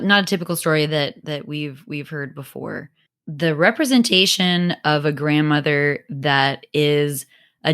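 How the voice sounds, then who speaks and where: A woman's voice: sits low, a bit bright, no echo, studio quality. A woman co-hosting a podcast in a conversational style. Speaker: podcast co-host.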